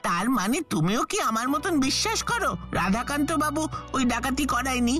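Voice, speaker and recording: A woman's voice: high-pitched, sing-song, brisk, a bit bright. A woman voicing an earnest, inquisitive child sidekick in a cartoon, pleading and questioning. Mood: concerned. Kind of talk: Bengali cartoon dubbing. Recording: music behind the voice.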